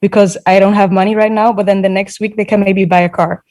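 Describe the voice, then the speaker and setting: Female panelist, even and mellow, plainly explaining. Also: medium pitch, fast. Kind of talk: casual discussion.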